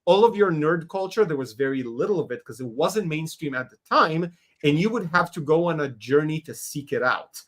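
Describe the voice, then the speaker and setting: A man's voice: on the high side, lively intonation, brisk. A man, a Hebrew speaker, talking animatedly as a guest in a casual podcast interview, laughing often. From Israel. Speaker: guest artist.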